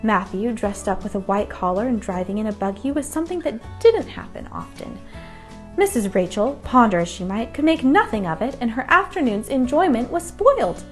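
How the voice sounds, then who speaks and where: A woman's voice: on the high side, sing-song, normal speed. A woman narrating a children's bedtime story from a script. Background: music.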